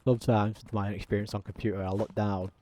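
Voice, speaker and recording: A man talking through a simulator game as a channel host, in a self-described monotone with frequent ums. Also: on the low side, quick pace, slight background noise. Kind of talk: casual gameplay commentary.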